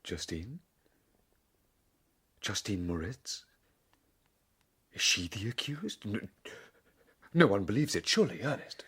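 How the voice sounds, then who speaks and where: A man's voice: lowish voice, big pitch swings, slow pace, long pauses, fairly bright tone, slightly rough, no echo, full character voice. A man playing an anguished, guilt-ridden young scientist, theatrical and impassioned, in a dramatised audiobook. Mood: troubled, protesting. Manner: melodramatic, impassioned, archaic phrasing.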